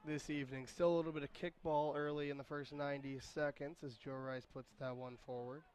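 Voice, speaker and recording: Raspy-voiced man commentating live soccer. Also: fairly high pitch, level tone, brisk, a little mellow, a little hiss or hum. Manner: chatty, self-deprecating, corrects himself. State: hoarse voice recovering. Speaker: play-by-play commentator. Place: outdoor stadium.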